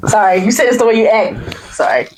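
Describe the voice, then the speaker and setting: A woman's voice: fairly high pitch, sing-song, fairly quick, slight background noise. A woman in her late twenties, a vlogger asking relationship questions in a playful, teasing casual chat and laughing often. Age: late 20s.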